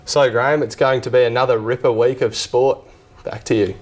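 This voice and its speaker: A man's voice: medium pitch, steady tone, fairly quick, a little mellow. A man with an Australian accent reading scripted sports news as a reporter.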